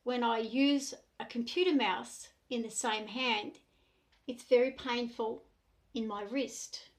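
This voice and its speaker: A middle-aged Australian woman speaking to the camera in an earnest, deliberate way, in short measured phrases. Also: on the high side, steady tone. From New South Wales, Australia. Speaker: self-represented complainant. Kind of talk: monologue to camera.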